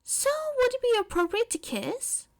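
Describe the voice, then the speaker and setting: Woman voicing a high, eager, blunt teenage girl. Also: sing-song, moderate pace, vocal fry, full character voice. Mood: eager, earnest. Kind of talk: audiobook character dialogue.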